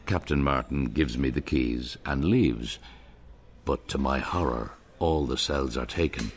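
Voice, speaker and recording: A man's voice: rumbling low, measured pace. A man narrating a radio drama in a wry, storytelling manner.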